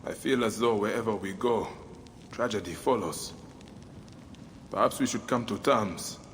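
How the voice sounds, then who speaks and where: A man's voice: lowish voice, a little slow. A man playing a grieving, vengeful ancient Egyptian warrior in a video game, brooding and earnest. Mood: sorrowful. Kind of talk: dramatic video game acting. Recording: clear outdoor ambient noise, animals.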